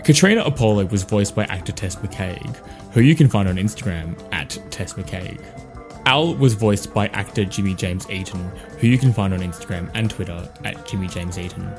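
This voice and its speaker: A man's voice: low voice, moderate pace, darkish tone, faint music behind. An Australian man hosting a podcast, reading a scripted outro with credits.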